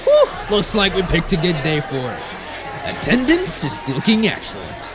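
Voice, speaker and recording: A man playing a brash, foul-mouthed, sardonic exorcist with a gravelly voice in an audiobook drama. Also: a little high, huge pitch swings, moderate pace, crowd noise. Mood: sarcastic, amused. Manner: sweary, dramatic, sarcastic. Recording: background music.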